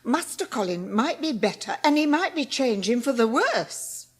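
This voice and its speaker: A woman's voice: on the high side, sing-song, moderate pace, slightly rough. An actress playing a flustered, deferential English housekeeper in a dramatised audiobook, hesitant and anxious as she explains herself. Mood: flustered, baffled.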